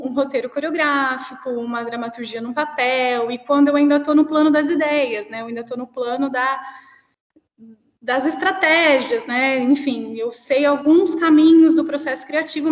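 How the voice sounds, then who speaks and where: A woman's voice: sits high, echoey room, in a large hall, lo-fi sound. A woman with a countryside São Paulo accent, a cultural producer asking a question on a video call, hesitant and thinking aloud with frequent tag questions. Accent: São Paulo countryside (caipira) Brazilian Portuguese. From interior of São Paulo state, Brazil. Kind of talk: online panel question.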